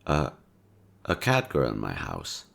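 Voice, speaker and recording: A young man in an audio roleplay, talking to a listener in a soft-spoken, laid-back and teasing way. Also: rumbling low, huge pitch swings, measured pace, gravelly. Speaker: voice actor.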